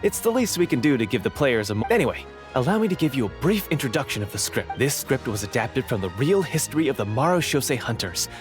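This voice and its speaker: Polite game presenter, very deep for a woman, lively intonation, courteous, explanatory. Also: fast talker, over music. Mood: modest. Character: polite, accommodating event presenter introducing a story script. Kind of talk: video game dialogue.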